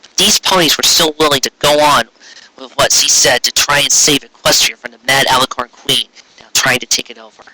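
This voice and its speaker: A man reading a story aloud in an expressive live style, with a casual way of talking. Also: mid-range voice, brisk, poor audio. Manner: expressive, acting out character dialogue. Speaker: reader of fan fiction.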